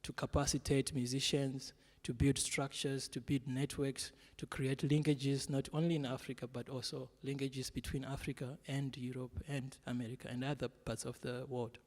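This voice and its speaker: A man from Zimbabwe giving a panel presentation, speaking in a measured way with frequent fillers. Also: medium pitch, little pitch movement, a little mellow. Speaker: guest speaker. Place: large exhibition hall. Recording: long hall reverb.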